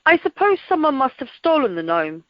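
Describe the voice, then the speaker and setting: Young teasing holidaymaker acted by a woman, friendly, slightly high voice, dull phone-conference sound, radio comedy. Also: sing-song, a little fast, no echo. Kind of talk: amateur radio play acting. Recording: dull telephone conference line.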